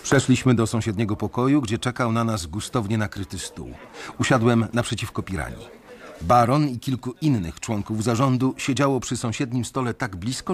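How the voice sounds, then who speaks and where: An actor narrating as a young male bank analyst in a radio drama, measured and reflective. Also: medium pitch, breathy edge.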